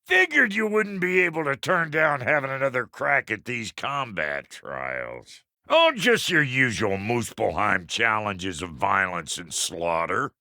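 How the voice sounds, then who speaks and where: A gravelly, cantankerous dwarf blacksmith from a video game, drawling in a Southern American accent with an unhurried pace. The pitch swings wildly, and the delivery is crude, folksy and blunt, with a good heart underneath. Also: male voice, sing-song, sharp tone, no echo, clean studio sound, heavily acted. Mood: relishing, rowdy. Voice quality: gravelly, rough-edged. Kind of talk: video game character acting.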